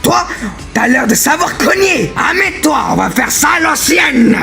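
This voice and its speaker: A man voicing a manic brawling monster in an amateur cartoon fandub, gravelly and spoiling for a fight. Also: extremely high pitch, lively intonation, normal speed, shouting, music in the background, exaggerated voice. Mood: eager, aggressive.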